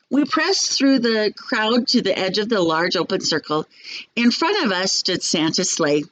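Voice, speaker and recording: A woman's voice: lowish voice, low-quality recording. Woman reading a storybook aloud. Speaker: teacher reading a picture book aloud.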